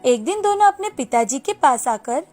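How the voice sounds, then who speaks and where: A woman's voice: high-pitched, big pitch swings, normal speed, a little mellow. A woman narrating a scripted storybook tale as the storyteller, with music behind her. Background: background music.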